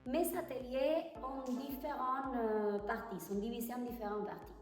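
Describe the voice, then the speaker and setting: A woman from Argentina with an Argentine Spanish accent and Spanish as her first language, answering interview questions hesitantly and pausing to find her words. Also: fairly high pitch, fairly even intonation, faint music behind. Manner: hesitant, pauses to search for French words. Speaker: tango teacher, interview guest. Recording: echoey hall, far from the mic.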